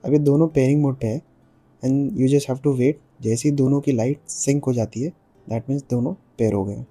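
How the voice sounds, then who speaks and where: Indian guy doing a casual gear review, really mellow dark voice, mixes hindi n english, walks you thru it step by step. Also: medium pitch, steady tone, moderate pace. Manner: explanatory, step by step, switching between Hindi and English. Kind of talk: casual product review to viewers.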